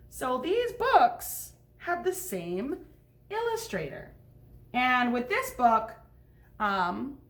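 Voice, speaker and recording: A woman teacher reading a picture book aloud to young children, explaining things and checking in with questions. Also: high-pitched. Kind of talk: read-aloud storytime with asides to children.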